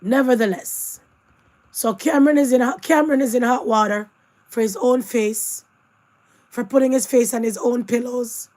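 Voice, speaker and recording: Hoarse woman. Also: fairly high pitch, fairly even intonation, moderate pace, a little mellow, poor audio. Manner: chatty asides, addresses viewers directly. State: losing her voice, hoarse. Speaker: commentary host. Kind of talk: casual news commentary.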